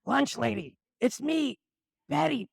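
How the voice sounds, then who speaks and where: A woman playing an earnest lunch lady sidekick in a live comic skit, with a creaky, emphatic delivery. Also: high-pitched, lively intonation, slow, dry recording, clean studio sound, full character voice. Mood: urgent.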